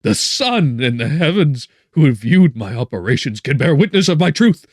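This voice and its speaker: A man voicing a tormented young gentleman, despairing and declaiming in broken, impassioned phrases for an audiobook. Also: a little high, big pitch swings, normal speed, no room sound, studio quality, pitched above the performer's own voice. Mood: frantic anguish. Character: anguished, guilt-ridden young gentleman, frantic and despairing.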